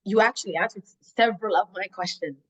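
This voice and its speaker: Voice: medium pitch, fast talker, poor audio. Brief, encouraging interviewer. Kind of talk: research interview questions.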